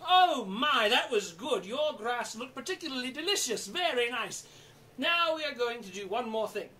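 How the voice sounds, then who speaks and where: A performer playing an eager, flustered, bumbling storyteller in an interactive children's show, with an excitable, stammering, coaxing manner. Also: mid-range voice, normal speed, far from the mic. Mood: encouraging, playful. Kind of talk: theatrical children's storytelling with audience participation.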